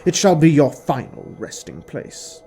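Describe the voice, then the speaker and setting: Audio drama villain, male, performed by a male reader: scornful, formal, unhurried, mellow timbre, music bed. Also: fairly high pitch, big pitch swings, creaky, exaggerated voice. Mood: scornful, gloating. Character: sardonic, menacing male villain, coolly toying with his captive.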